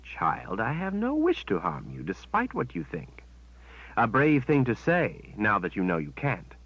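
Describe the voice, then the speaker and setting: A man voicing a smug, menacing witch woman who speaks in a cold, measured way. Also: lowish voice, huge pitch swings, a bit bright, breathy edge, pitched above the performer's own voice, full character voice. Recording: dull, dry sound.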